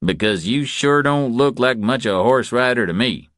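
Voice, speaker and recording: A man voicing a cocky, higher-pitched ranch hand. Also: animated, moderate pace, a bit bright, no room sound, clean studio sound, natural voice. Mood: mocking. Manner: blunt, needling, folksy clipped phrasing. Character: cocky, wiry rodeo ranch hand, male, sneering at newcomer. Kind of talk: audiobook character dialogue.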